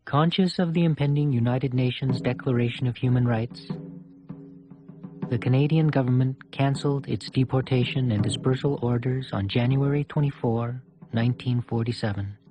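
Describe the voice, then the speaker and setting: A man reading scripted historical documentary narration in a formal, measured way. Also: medium pitch. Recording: dull lo-fi audio under music. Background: clear music.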